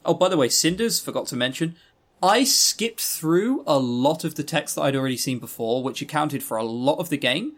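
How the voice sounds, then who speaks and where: A man's voice: on the high side, lively intonation, normal speed. A man co-hosting a podcast, chatting and reviewing games in a rambling, opinionated way with casual swearing. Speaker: podcast co-host. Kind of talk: casual chat, game review.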